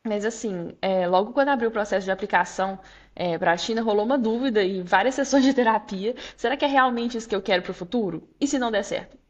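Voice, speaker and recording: A young woman with a Minas Gerais accent speaks as a podcast guest, in a mid-pitched, everyday voice. She talks candidly and reflectively, filling pauses with small hesitations. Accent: Minas Gerais Portuguese. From Brazil, Minas Gerais. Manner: reflective, candid storytelling with frequent "é" fillers and laughs. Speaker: guest, law graduate student. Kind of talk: casual interview chat.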